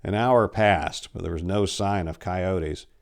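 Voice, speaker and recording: Guy in his 40s doing a dramatic horror story reading, lowish voice, really expressive. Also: a little slow, creaky. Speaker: story reader. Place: indoors, book-lined room. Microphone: lapel.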